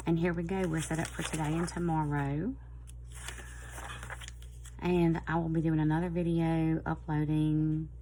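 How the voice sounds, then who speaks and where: A middle-aged woman with a Southern US accent, chatting casually and a little hoarse, in a rambling, friendly way. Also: deep voice, dark tone, slight background noise. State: slightly hoarse. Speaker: planner vlogger. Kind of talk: casual chat to camera.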